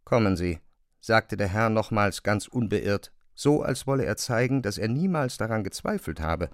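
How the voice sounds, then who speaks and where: A man narrating a literary audio drama, with measured, deliberate phrasing. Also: lowish voice, expressive, no room sound, clean studio sound.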